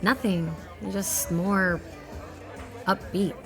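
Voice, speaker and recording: A woman's voice: on the low side, fairly even intonation, very slow, music in the background, crowd noise. A woman playing a sardonic, defiant strike team agent in a drama, dry and challenging. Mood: teasing. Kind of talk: dramatic dialogue.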